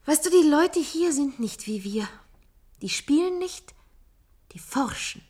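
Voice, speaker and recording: Earnest, higher-voiced idealistic woman. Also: lively intonation, slow pace, darkish tone. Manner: earnest, explanatory. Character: earnest, idealistic woman absorbed in language research. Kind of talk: radio play dialogue.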